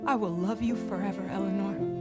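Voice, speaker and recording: A woman's voice: mid-range voice, steady tone, fairly quick, loud music behind the voice, close to an everyday voice. A woman playing a loving, regretful mother in a family audio drama, heartfelt and emotional. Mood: sorrowful.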